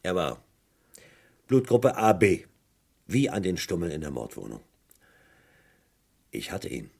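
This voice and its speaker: Brooding police inspector, radio crime drama, gravelly, deep-ish, emphatic, insistent. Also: male voice, very animated, measured pace, darkish tone, exaggerated voice. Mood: grim triumph. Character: dogged British police detective, brooding and insistent.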